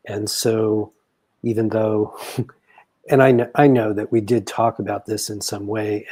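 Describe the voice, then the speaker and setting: Measured man with a mellow, dark voice. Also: fairly low pitch, slightly rough. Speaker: grief counselor. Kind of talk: guiding counseling conversation.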